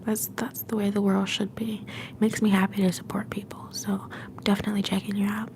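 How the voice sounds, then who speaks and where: A young woman hosting a livestream from home, whispering softly and affectionately to her viewers. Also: mid-range voice, level tone, fairly quick, background noise. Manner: soft whisper, affectionate and encouraging. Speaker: livestream host.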